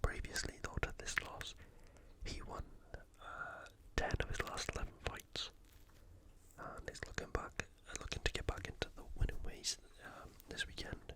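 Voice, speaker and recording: An ASMR host speaking entirely in whispers, giving informal, unscripted sports preview commentary and thinking aloud. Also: normal speed.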